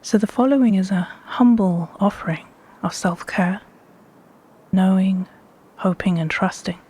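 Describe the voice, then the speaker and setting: A woman with a hushed, breathy voice guiding a meditation in measured, deliberate phrases with pauses between them. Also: lowish voice, slight background noise. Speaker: meditation guide. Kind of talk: slow guided meditation narration.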